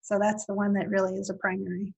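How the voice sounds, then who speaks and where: Husky woman, native Italian speaker, reflective podcast guest pausing on fillers. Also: mid-range voice, monotone, darkish tone, low-quality recording. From Italy. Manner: thoughtful, with frequent fillers and restarts. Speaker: university guest. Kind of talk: podcast interview.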